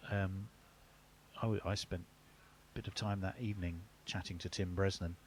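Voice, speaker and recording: A man's voice: deep voice, fairly even intonation, normal speed, breathy edge. A man presenting cricket radio, interviewing a guest in a hesitant way with many restarts. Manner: hesitant, stumbling over restarts. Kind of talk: conversational interview.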